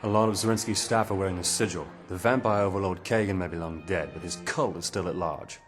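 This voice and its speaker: A man's voice: low-pitched, quick pace, natural voice. An actor voicing an easygoing, joking male handler in a game cutscene. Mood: wry. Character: wry male handler speaking over comms. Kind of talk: video game cutscene acting. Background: background music.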